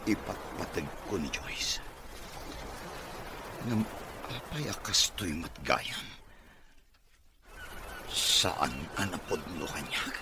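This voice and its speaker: A man's voice: deep voice, animated, slow, pauses often, noisy background. A man with an Ilocano accent playing a hurt, accusing wealthy young man in an audio drama serial. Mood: lovesick, hurt.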